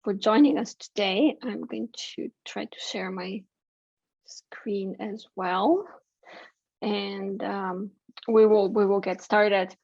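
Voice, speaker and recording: A woman from Bulgaria, a political science professor, giving an academic talk over a video call in a measured way, with many fillers and restarts. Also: medium pitch, fairly even intonation, a little mellow, no echo, lo-fi sound. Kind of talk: academic presentation.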